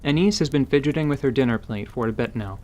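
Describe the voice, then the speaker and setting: A man's voice: mid-range voice, fast, a little mellow. Male narrator, mid-range voice. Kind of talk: scripted story narration.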